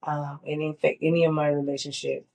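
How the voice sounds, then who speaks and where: A woman's voice: deep voice, level tone, quick pace, vocal fry, darkish tone, low-quality recording. A middle-aged woman, congested, talking candidly and emphatically in a casual sit-down interview. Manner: candid, emphatic, repeats words for stress. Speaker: interviewee sharing her chemo experience.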